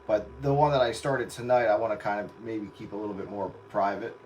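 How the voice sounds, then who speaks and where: A man's voice: lowish voice, brisk, a little mellow, lo-fi sound, a little hiss or hum. A man chatting casually and in a rambling, easygoing way as he hosts a stream from his radio set. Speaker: radio operator hosting a livestream.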